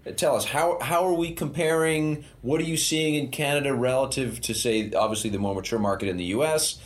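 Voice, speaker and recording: A man's voice: medium pitch, brisk. A man hosting a podcast interview, talking casually with many fillers and restarts. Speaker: podcast host.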